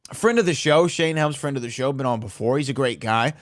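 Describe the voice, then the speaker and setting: A man's voice: medium pitch, fast, no room sound. An American man co-hosting a podcast in a casual, conversational style, setting up topics and asking questions. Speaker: podcast co-host.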